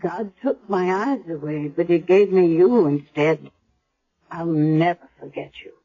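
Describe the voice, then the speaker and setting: An actress playing a frail, tender, dying blind grandmother in a radio drama, speaking gently and haltingly. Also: fairly low pitch. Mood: tender farewell. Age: older. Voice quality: husky, frail. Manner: slow, gentle, halting delivery. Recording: dull old 1970s radio broadcast.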